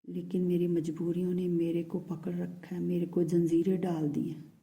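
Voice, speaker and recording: A woman speaking in first person as a lovesick man who pleads tenderly with his beloved, in a soft, hushed voice that often drops to a whisper. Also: fairly low pitch, completely flat delivery, moderate pace, lightly acted. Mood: tender, pleading. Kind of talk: channelled love message in first person.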